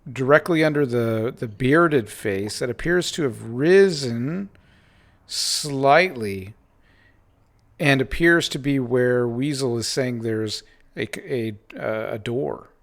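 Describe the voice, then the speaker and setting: A man narrating a tabletop game as game master, improvising in halting phrases with pauses mid-sentence. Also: mid-range voice.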